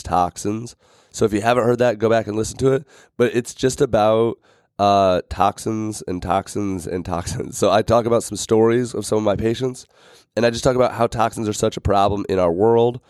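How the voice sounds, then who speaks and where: Male podcast host, thirties, slightly raspy, chatty and rambling, dry close mic. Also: lowish voice, darkish tone, no echo. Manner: rambling, many fillers and restarts. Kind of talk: casual podcast monologue.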